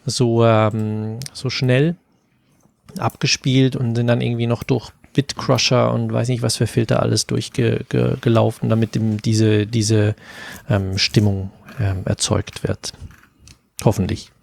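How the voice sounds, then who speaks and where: A man speaking casually in a group discussion as an audio drama producer, haltingly searching for words. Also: medium pitch, little pitch movement, gravelly, darkish tone, no room sound.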